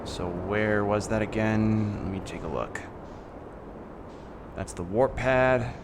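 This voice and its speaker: Soft-spoken low-voiced man musing aloud. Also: slow, background noise. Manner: thinking aloud, musing with hums. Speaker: streamer. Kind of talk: casual gameplay commentary.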